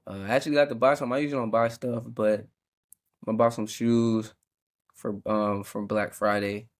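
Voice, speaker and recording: Congested early-20s male podcast host, low-ish voice, relaxed and rambling. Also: moderate pace. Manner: laid-back, rambling, with frequent fillers and small laughs. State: congested, sucking a cough drop.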